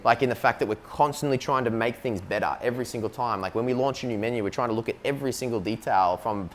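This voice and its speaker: A man's voice: mid-range voice, quick pace, slight background noise. An Australian man, a business co-founder, talking casually in an interview and filling his speech with "like" and "you know what I mean". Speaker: company co-founder, guest.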